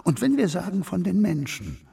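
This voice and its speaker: An actor playing a wary, scheming high priest in a radio play, murmuring with a creaky, calculating delivery. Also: male voice, wildly swooping pitch, moderate pace, full character voice. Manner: hushed, calculating.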